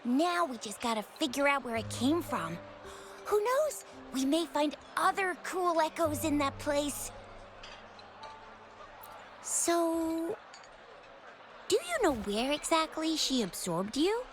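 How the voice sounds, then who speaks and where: A woman playing a perky, teasing, skeptical young female companion in an anime-style video game, expressive and casual. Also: high voice, slow pace, long pauses, full character voice. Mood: curious. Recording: loud game music behind. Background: game music.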